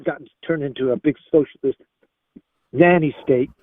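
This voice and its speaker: High-voiced man, mature, insistent political talker on a slightly muffled call. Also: moderate pace, no room sound. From Spain. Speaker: caller. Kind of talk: opinionated political conversation. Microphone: phone or voice call.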